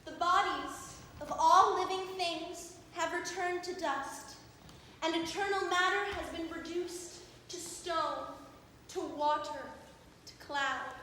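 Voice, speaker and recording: Very high, slow, solemn universal soul. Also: female voice, pushed and bright, full character voice. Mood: solemn, mournful. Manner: declamatory, mournful, drawn-out repetitions. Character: young actress solemnly playing the lone universal soul. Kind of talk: theatrical stage monologue. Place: theatre stage. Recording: echoey reverberant theatre, faint hiss.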